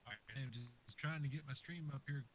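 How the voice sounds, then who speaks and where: A man's voice: mid-range voice, steady tone, brisk, a little hiss or hum. A man on a gaming live stream, muttering to himself as he works through his setup. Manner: mutters to himself while fixing tech. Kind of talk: casual live-stream talk.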